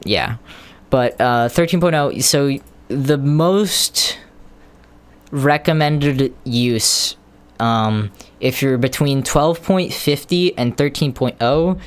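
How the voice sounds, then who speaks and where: A man's voice: mid-range voice, smooth. A man casually rambling on a live stream, hesitating with lots of ums and restarts while explaining tech steps to viewers.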